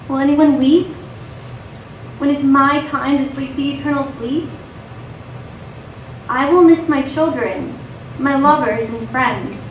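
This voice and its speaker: Woman actor as a wistful young poet in a radio play: high voice, unhurried verse, roomy theater. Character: poetic, wistful young female voice musing on life. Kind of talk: slow rhyming verse recitation in stage radio theatre. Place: theater stage, recorded from a distance. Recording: echoey theater, distant mic, faint noise.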